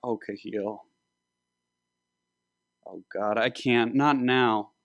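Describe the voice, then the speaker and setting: A man voicing an earnest, grieving young architect in a biblical story, speaking haltingly. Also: mid-range voice, steady tone, fairly bright tone, no room sound, muffled recording. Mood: distressed.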